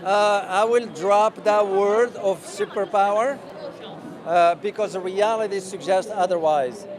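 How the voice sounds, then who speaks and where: A man vlogging as he walks a city street outdoors, talking loudly and passionately while answering viewers' questions. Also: sits high, measured pace. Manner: loud and passionate. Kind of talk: casual walking vlog answering live questions. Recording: crowded outdoor street noise. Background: street crowd.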